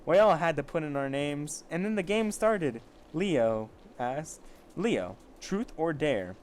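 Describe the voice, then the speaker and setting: Higher-voiced man reading casually over noise. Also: animated, slow, a little mellow. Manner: sarcastic, profane commentary. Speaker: amateur story reader. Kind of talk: casual fan fiction reading with asides.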